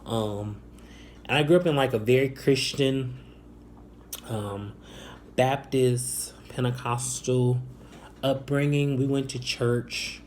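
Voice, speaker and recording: A young man from the Chicago area telling a personal story to camera, soft-spoken and hesitant, with many ums and restarts. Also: medium pitch, fairly even intonation, takes it slowly, long pauses, slight background noise. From Northwest Indiana, Chicago area. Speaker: vlogger.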